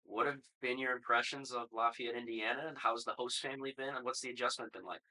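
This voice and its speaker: A young man hosts a relaxed sports interview. His voice is mid-pitched and intensely bright, and he talks quickly, asking questions in a casual podcast style. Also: low-quality recording. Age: young adult. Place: small plain room, indoors, seated.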